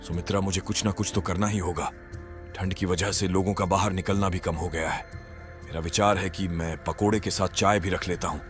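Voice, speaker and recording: A man's voice: sits low, steady tone, brisk, music in the background, close to an everyday voice. A man playing a humble, worried street food vendor and family man in a moral-story cartoon, speaking earnestly. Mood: resolved.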